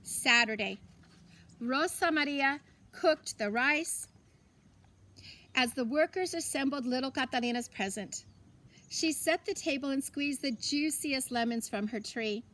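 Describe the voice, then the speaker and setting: A woman narrating a children's storybook aloud in a deliberate, expressive way, with pauses for effect. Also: high voice. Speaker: teacher reading a story aloud.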